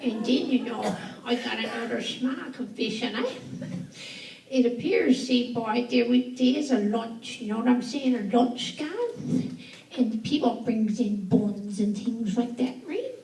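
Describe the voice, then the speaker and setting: A woman's voice: a little high, lively intonation, measured pace, gravelly, mellow. A woman playing a gossipy older churchgoing lady who chats confidingly to God in a folksy dialect, giggling as she goes. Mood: chatty. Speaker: comedian. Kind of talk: stand-up character monologue. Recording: echoey live stage from far off. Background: audience laughter and noise.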